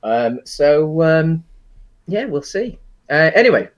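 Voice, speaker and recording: A man co-hosting a casual film discussion podcast, talking enthusiastically in a hesitant, halting, rambling way. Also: on the high side, big pitch swings, muffled recording. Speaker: podcast host.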